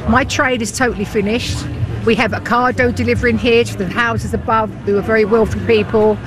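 A woman's voice: on the high side, fairly even intonation, a little fast, music in the background, rough recording. An older woman who works as a greengrocer, speaking bluntly and with resignation. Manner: blunt, plain-spoken and resigned. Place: outdoors at a street market.

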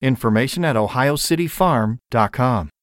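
A man reading a sponsor message in a smooth, even delivery. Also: medium pitch, moderate pace, dry recording, clean studio sound. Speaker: sponsor announcer.

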